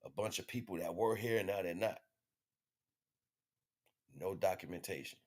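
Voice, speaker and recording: A man's voice: deep voice, normal speed, a little mellow. An American man giving a casual spiritual monologue as a livestream host, in slangy, profane, conversational speech.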